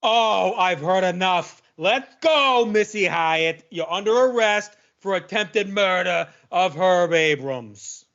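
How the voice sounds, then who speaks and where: Officious arresting cop played by a man, high voice, deliberate and stern, comedy audio play. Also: fairly bright tone, heavily acted. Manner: stern, declarative. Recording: dull lo-fi home recording.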